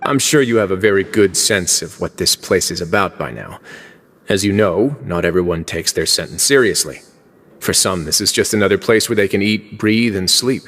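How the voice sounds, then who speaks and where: An actor playing a laid-back, wry prison warden in a video game, who speaks calmly with dry, understated humor. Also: male voice, deep voice, normal speed.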